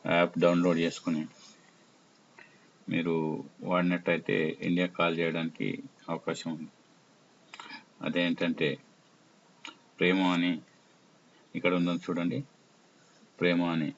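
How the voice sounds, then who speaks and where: A man presenting an informal app tutorial, explaining step by step in short, halting phrases. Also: sits low, monotone. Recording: muffled lo-fi audio with faint noise.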